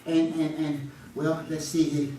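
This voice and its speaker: A man's voice: on the high side, level tone, a little slow, mellow, a little hiss or hum, natural voice. A man playing an eager, dim, giggly husband contestant who stammers and repeats himself in radio comedy. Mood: hesitant. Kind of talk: old time radio comedy acting. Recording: very echoey, distant mic.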